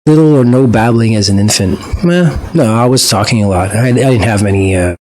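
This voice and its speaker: Man, French accent, fairly low voice, halting delivery, lots of uhs and restarts. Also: a little mellow, rough recording. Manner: hesitant, many fillers and restarts. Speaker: reaction video host. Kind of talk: casual reflective monologue.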